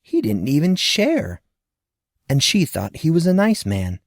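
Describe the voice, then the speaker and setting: A man narrating a fantasy audiobook with expressive, lively storytelling. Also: a little high, unhurried, vocal fry, no echo, clean studio sound.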